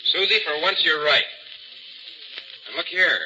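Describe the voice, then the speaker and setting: Sharp-voiced, brash newspaper man. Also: fairly high pitch, unhurried. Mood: excited. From New York. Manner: brusque, incredulous, bossy. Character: brash, skeptical, exasperated male newspaper reporter. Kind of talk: old-time radio drama acting. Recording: dull, noisy old radio recording.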